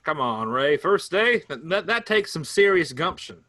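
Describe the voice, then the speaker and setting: A man's voice: fairly high pitch, sing-song, normal speed, pushed and bright, no room sound. A man playing a folksy, peacekeeping farmer. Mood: coaxing. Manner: coaxing, teasing, folksy. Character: easygoing older farmer brother who keeps the peace. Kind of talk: staged play reading.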